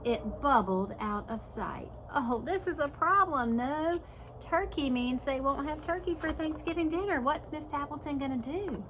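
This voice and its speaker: A woman's voice: high-pitched, animated, moderate pace, fairly bright tone, slight background noise. A woman preschool teacher reading a picture book aloud and stopping to explain it simply for young children. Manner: simple explanatory asides, dramatized story reading.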